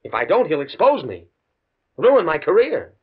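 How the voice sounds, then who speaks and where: Casting: cornered academic, earnest and hesitant, husky voice with animated swings, vintage radio thriller. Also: male voice, a little high, moderate pace, soft background music, heavily acted. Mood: distressed. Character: anxious, principled male professor trapped by blackmail. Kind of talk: 1950 radio drama acting. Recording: muffled old radio recording.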